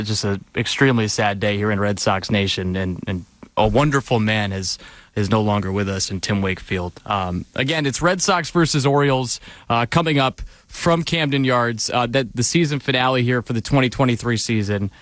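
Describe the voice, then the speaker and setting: A man's voice: fairly low pitch, fairly bright tone, no room sound. Male sports radio host in a dry studio. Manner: measured and somber on the news, brisk and upbeat on ad reads. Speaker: radio pregame show host. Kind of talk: radio sports hosting and live ad reads. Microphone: studio microphone.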